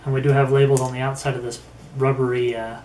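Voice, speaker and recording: A man talks through a casual product review in an even, level voice at middling pitch. He is unhurried and halting, working out his thoughts as he speaks. The room echoes around him, with faint noise behind. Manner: unhurried, halting, thinking aloud. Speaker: gear reviewer. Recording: roomy echo with faint background noise.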